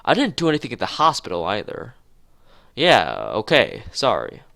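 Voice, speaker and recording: A man's voice: lowish voice, huge pitch swings, moderate pace, gravelly, bright, forceful tone, no room sound, studio quality, heavily acted. A man voicing a hesitant, defensive teenage schoolboy in a visual novel, evasive and reluctant. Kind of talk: visual novel dialogue read aloud.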